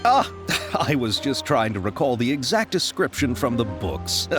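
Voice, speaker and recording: A man's voice: medium pitch, big pitch swings, moderate pace, natural voice. An actor voicing a polite, eager young male film producer in a game, courteous and wordy, with nervous little laughs. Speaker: game character. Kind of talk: video game voice acting. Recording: game audio with soft music.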